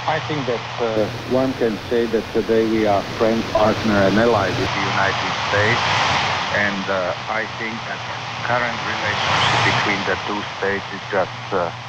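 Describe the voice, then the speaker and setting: Low-voiced Slovak-accented man on noisy shortwave. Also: moderate pace. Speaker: political analyst being interviewed. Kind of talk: radio interview commentary. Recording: noisy shortwave radio broadcast with steady hiss. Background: shortwave static and noise.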